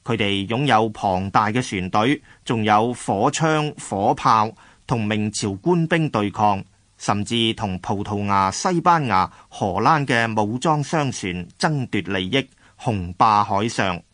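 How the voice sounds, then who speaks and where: Low-voiced Hong Kong Cantonese male narrator. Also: animated, takes it slowly, fairly bright tone, dry recording, studio quality. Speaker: narrator of a radio drama. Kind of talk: scripted history narration.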